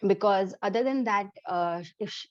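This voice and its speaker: Young woman, Indian accent, native Gujarati speaker, mid-pitched, casual podcast guest, slightly muffled lo-fi sound. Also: moderate pace, no room sound. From India (born and raised in Mumbai). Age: young adult. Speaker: podcast guest, occupational therapist. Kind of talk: casual conversational interview answers. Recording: slightly dull, lo-fi audio.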